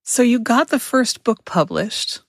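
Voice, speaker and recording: A woman's voice: on the high side, animated, a little slow, creaky, dry recording, clean studio sound. A woman hosting a casual conversational interview, informal and responsive to what she hears. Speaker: podcast host, interviewer.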